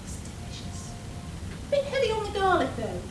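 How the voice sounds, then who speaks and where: Woman acting a high, swooping theatrical villainess, distant and echoey. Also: huge pitch swings, normal speed, full character voice. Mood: haughty, flippant. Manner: haughty, melodramatic, swooping delivery. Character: vain theatrical villainess with giant rabbit monsters. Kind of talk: live radio play comedy acting. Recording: distant, echoey, noisy convention room recording.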